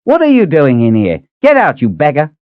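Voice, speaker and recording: Man doing a shrill, gushing older kitchen mistress, squeaky high falsetto, strange thin timbre, tinny narrow sound, audiobook voice. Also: sing-song, normal speed, no room sound. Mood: scolding. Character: shrill excitable older woman palace cook. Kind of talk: audiobook character voice.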